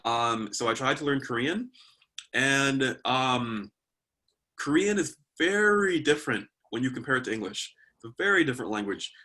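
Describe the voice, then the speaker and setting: Man, from Canada, interview guest, bright tone, thoughtful, hesitant, lots of ums. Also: medium pitch, lively intonation, normal speed. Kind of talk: casual interview chat.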